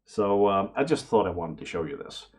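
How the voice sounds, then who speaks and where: A man giving casual unboxing commentary to camera, excitable and prone to awestruck exclamations and hesitations. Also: deep voice, normal speed. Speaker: vlogger, radio amateur hobbyist.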